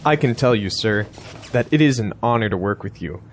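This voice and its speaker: An actor playing a confident, persuasive campaign strategist, courteous yet blunt, in an audio drama. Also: male voice, lowish voice, animated, fairly quick, background noise, lightly acted.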